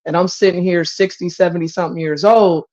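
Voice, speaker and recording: A woman's voice: fairly low pitch, fairly even intonation, fairly quick, dark tone, highs cut off. A woman in her forties giving opinions in a candid, casual talk show conversation. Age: 40s. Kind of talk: candid casual open-forum chat.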